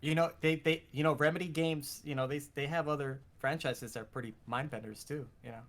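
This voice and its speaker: A man's voice: on the high side, fairly even intonation, brisk, fairly bright tone. A man chatting casually as a podcast co-host, with a teasing manner. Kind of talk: casual chat.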